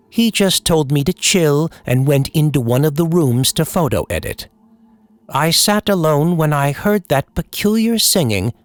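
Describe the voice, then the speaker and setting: A man reading a scripted scary story in a theatrical old-time radio horror style over background music. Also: medium pitch. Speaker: horror story host and narrator.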